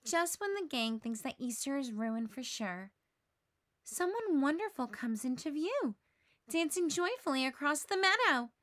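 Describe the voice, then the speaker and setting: A woman reading a children's story aloud in an animated, dramatic way. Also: high voice, measured pace, no echo, clean studio sound. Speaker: storybook reader. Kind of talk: expressive children's read-aloud narration.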